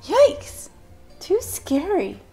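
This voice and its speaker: A woman's voice: a little high, animated, measured pace, soft background music, poor audio. A woman reading a storybook and voicing a sleepy, impulsive young girl who gets flustered and apologetic. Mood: alarmed. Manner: breathless exclamations, later apologetic.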